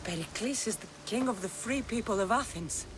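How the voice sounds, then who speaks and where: A woman's voice: fairly high pitch, lively intonation, fairly quick, background noise, lightly acted. An actress playing a fierce, stubborn young Greek mercenary woman in a video game cutscene, speaking tersely and intensely. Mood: skeptical.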